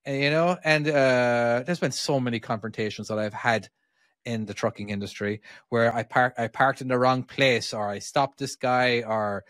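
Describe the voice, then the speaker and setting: A man's voice: medium pitch, fairly even intonation, moderate pace, fairly bright tone, no room sound, studio quality. A man from Ireland chatting loosely on a podcast as a truck driver, swearing a lot and restarting his sentences. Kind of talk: casual podcast chat.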